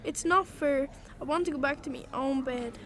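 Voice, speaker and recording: A woman's voice: sits high, moderate pace. A female performer in a radio play voicing an upset young child with an innocent, whiny manner. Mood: plaintive.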